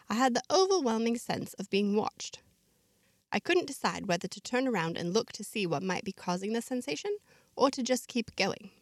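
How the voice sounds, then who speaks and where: A woman's voice: mid-range voice, no echo, studio quality. Woman narrating a diary-style story. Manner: chatty, diary-like narration with asides. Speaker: narrator of a serialized fiction podcast. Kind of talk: first-person scripted storytelling.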